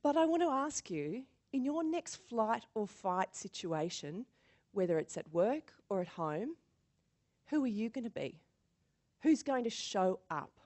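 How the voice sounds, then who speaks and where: A woman giving a motivational keynote speech as an animated storyteller who acts out quotes and laughs often. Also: a little high, measured pace. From born in Singapore. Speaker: keynote speaker.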